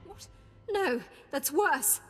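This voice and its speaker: A woman's voice: sits high, animated, extremely slow, long reverb tail, soft background music, full character voice. A woman playing a proud young Sith noblewoman in a video game, dramatic and anguished in defeat. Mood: shocked dread.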